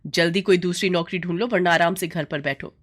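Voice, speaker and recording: A woman's voice: on the low side, fairly even intonation, brisk, close to an everyday voice. A woman voicing a strict middle-aged mother who scolds and commands, in a storybook tale. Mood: stern, scolding.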